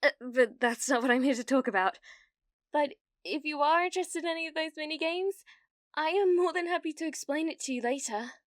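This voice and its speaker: A British woman playing a needy, awkward young gamer in an ASMR roleplay, with a halting, nervous manner. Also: sits high, no echo, studio quality, close to an everyday voice. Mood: flustered, eager. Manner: halting, flustered, breathy laughs and hesitations.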